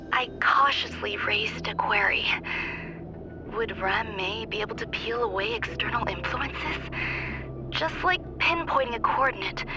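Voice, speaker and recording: An actress voices a genius woman scientist from a video game, detached and faintly melancholy. She speaks softly in measured, formal phrases, as if reasoning through philosophy alone. Music plays clearly behind her. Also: fairly high pitch, natural voice, rough recording. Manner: measured, formal, soft-spoken. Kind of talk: scripted game monologue.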